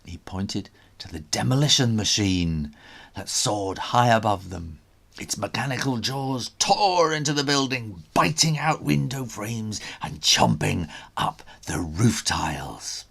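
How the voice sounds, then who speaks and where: A man reads a children's adventure story aloud with dramatic expression. His voice is husky and swings widely in pitch as he takes his time over each phrase. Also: lively intonation, a little slow, fairly bright tone. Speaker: children's author reading own story.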